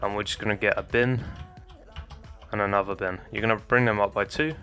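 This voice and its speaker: Young man, lowish voice, slightly hoarse, casual walkthrough, faint music under. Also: fairly even intonation, a little fast, bright, forceful tone. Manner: chatty, talks viewers through steps, addresses them as guys. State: slightly hoarse, losing his voice. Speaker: Minecraft building tutorial creator. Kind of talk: casual gameplay tutorial commentary.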